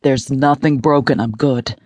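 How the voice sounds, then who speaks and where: A man's voice: mid-range voice, steady tone, measured pace, no echo. An audiobook narrator voicing a shaken, stubborn male rescuer who speaks curtly and insistently. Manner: curt, insistent.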